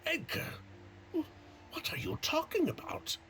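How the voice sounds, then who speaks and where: A man's voice: measured pace, noisy background, lightly acted. A man playing a bitter, defiant criminal in a video game, with sardonic, theatrical delivery. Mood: incredulous. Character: bitter, defiant perfumer criminal accepting his guilt. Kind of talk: dramatic game voice acting.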